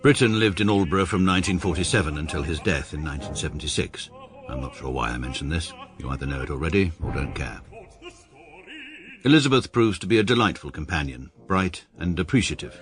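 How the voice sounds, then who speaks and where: A man's voice: very deep voice, expressive, a little fast, over music. An English actor in his thirties narrating as a pompous, precise barrister in a radio drama, dry and self-satisfied. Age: 30s. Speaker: narrator and lead.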